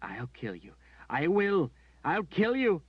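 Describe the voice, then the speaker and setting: A man's voice: high voice, lively intonation, slow pace, dry recording, voice pushed up, full character voice. A man voicing an anguished young woman warrior, sobbing and pleading in a raised voice. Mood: desperate pleading. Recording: dull dry recording.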